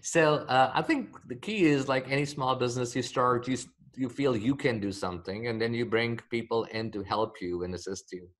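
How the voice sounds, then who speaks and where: A man's voice: medium pitch, normal speed, few pauses, fairly bright tone. A middle-aged man from India with an Indian accent, a company founder answering interview questions over a video call, hesitant with many fillers and restarts. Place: home office with bookshelves, window behind.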